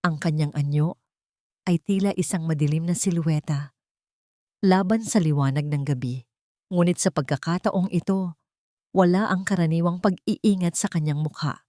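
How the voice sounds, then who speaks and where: A woman with a Filipino accent narrating a scripted dramatic story in a measured, solemn way. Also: lowish voice, mellow, no room sound, clean studio sound.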